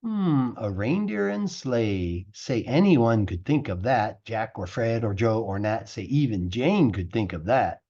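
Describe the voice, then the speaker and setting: Man, storybook narration, very lively pitch swings, mellow husky voice, theatrical drawn-out words. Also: dull audio. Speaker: English teacher reading a picture book aloud. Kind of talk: expressive rhyming storybook narration.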